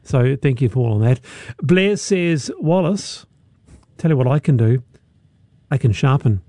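Upbeat male radio host, mellow tone, dry sound. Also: mid-range voice, expressive, measured pace, no room sound. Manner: upbeat, reads out listener messages. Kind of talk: casual radio chat.

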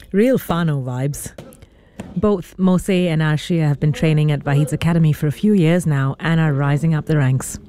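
A reporter narrating a documentary in a measured, informative delivery. The voice sits at a middling pitch and has a mellow, soft tone.

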